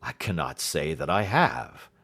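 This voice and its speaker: A man voicing an earnest, puzzled gentleman companion in an audiobook, speaking plainly. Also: rumbling low, big pitch swings, measured pace, exaggerated voice. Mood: puzzled, doubtful. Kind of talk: audiobook character dialogue.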